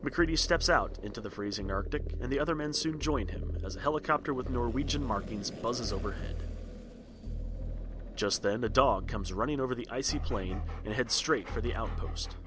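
A man's voice: mid-range voice, moderate pace, noisy background. A man giving scripted narration as a podcast host and storyteller. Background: faint music.

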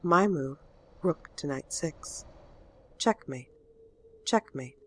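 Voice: medium pitch, expressive, slow pace, background noise. A performer voicing a chess computer game that announces its moves. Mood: matter-of-fact.

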